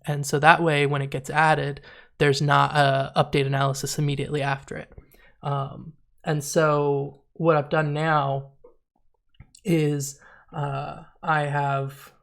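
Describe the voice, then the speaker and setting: A man with a stuffy, sniffly nose walks through his programming on a casual stream. His voice stays flat and gravelly, dotted with fillers, and he drifts into quiet muttering as he types. Keyboard clicks sit behind him. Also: a little high. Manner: thinking aloud with many fillers, trailing off to mutter while typing. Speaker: programmer streaming a coding session. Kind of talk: casual screen-share coding walkthrough.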